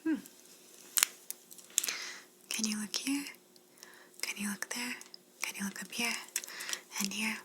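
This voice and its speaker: A woman playing a polite, curious alien examiner in an ASMR roleplay, speaking in a soft breathy whisper with a soothing, clinical manner. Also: mid-range voice, noisy background, lightly acted. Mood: clinical, gentle. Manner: slow, soothing, clinical, courteous.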